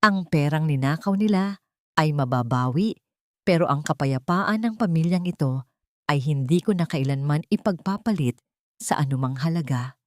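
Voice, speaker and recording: Woman voicing an earnest, devoted man, low-pitched. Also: lively intonation, moderate pace, no echo, studio quality. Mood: serious, determined. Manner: sincere, solemn. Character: David, earnest, devoted adult father and wealthy employer. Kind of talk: Tagalog audio drama dialogue.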